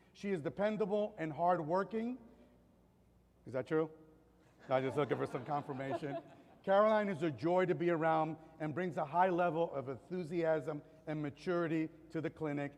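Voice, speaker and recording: A man reading a formal introduction of a graduate at a ceremony, measured with light joking asides, at a podium microphone. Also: fairly high pitch, darkish tone. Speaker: faculty member introducing a graduate. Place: ceremony hall. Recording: long hall reverb.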